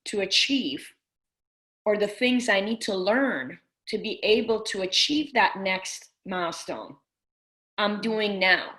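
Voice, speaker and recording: A woman's voice: mid-range voice, fairly bright tone. A middle-aged woman business coach talking earnestly in an interview, with many restarts. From Persian family. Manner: earnest, full of restarts and fillers. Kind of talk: casual interview talk.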